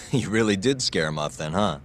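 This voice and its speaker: An actor playing a composed, wry lawyer turned detective in an English game dub. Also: male voice, deep voice, lively intonation, normal speed, a little hiss or hum. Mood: relaxed. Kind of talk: English dub cutscene acting.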